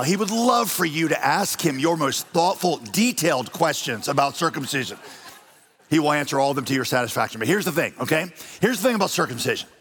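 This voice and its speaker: A man preaching a sermon as pastor, animated and folksy with touches of humor. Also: on the high side, slight background noise. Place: large church auditorium. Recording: long reverb of a church hall.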